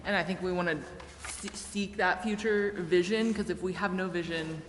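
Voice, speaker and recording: A woman's voice: lowish voice, steady tone, moderate pace. Assertive American woman in an echoey chamber. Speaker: city meeting participant. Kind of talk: debate at a public meeting. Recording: echoey council chamber, faint noise.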